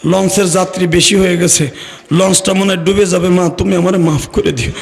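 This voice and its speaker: A man's voice: high voice, steady tone, fairly quick, vocal fry, big-room sound, a little hiss or hum. A man with a Bangladeshi accent voicing a doomed young son in a sermon story, mournfully pleading with his mother and calling out to her. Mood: desperate pleading.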